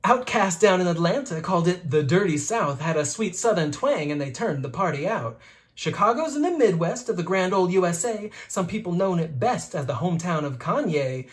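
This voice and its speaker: A reader with an expressive, playful and rhythmic delivery, reading a rhyming picture book aloud like a teacher to children. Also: mid-range voice, normal speed.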